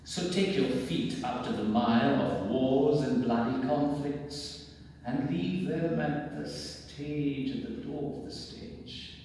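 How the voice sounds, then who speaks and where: Older Sri man, slow echoey stage oratory. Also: medium pitch, a little mellow. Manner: declamatory, theatrical, emphatic delivery. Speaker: speaker reading a formal message on stage. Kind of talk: formal read speech, oratorical. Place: indoor theatre stage. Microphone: far camera in the audience. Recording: very echoey theatre hall, distant mic, faint noise.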